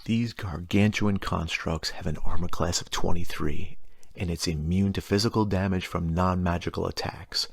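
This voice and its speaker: A man's voice: deep voice, steady tone, normal speed, creaky, slight background noise. A middle-aged man hosting a tabletop gaming explainer, reading prepared lore in a wry, informal way with casual asides. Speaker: host of a tabletop gaming channel.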